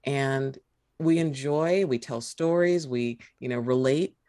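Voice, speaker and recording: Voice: medium pitch, slow pace, mellow. Reflective speaker from California. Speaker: lawyer, podcast guest. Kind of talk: casual conversation.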